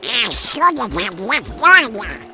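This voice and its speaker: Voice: medium pitch, sing-song, slow pace. An actor voicing an earnest, hesitant masked duck superhero in a comic dub. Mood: regretful. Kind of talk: amateur comic dub acting. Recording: music behind the voice.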